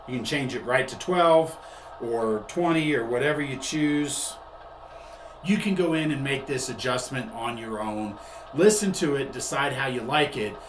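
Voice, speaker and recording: Man, mid-pitched voice, conversational tutorial host, explanatory, roomy echo, faint background noise. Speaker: host and product demonstrator.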